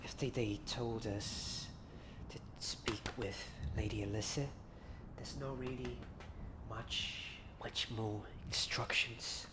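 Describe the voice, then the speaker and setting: A man playing a hesitant student wandering a haunted castle, muttering haltingly in an ASMR roleplay. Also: lowish voice, steady tone. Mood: unsure, hesitant. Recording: echoey, noisy ambient backdrop.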